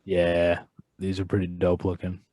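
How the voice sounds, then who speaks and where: A man's voice: low-pitched, completely flat delivery, unhurried, dark tone, no echo. A man co-hosting a film podcast in casual chat. He is wry and self-mocking and halts often on fillers. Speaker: podcast co-host.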